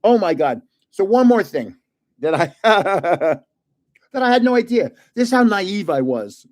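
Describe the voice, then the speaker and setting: A man's voice: sing-song, unhurried. A man in his seventies hosting a casual livestream, telling rambling anecdotes with frequent laughter. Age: 70s. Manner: self-described booming voice when yelling. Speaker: livestream host opening trading cards. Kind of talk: casual storytelling chat.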